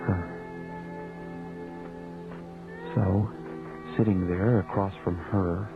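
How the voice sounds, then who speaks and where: Low, gravelly, restless married man. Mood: tormented, confessional. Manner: hesitant, confiding, conversational. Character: restless married male writer, torn and self-doubting. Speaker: lead actor and narrator. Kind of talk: radio drama acting with confessional narration. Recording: thin phone-like radio audio, music behind.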